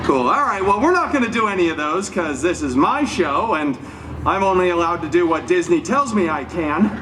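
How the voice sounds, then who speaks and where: A man's voice: sits high, expressive, moderate pace, noisy background. A man playing a wisecracking, irreverent superhero who tells a story live, with sarcastic, theatrical delivery and self-mocking asides. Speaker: storyteller at a theme park show. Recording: live show audio, music and crowd behind.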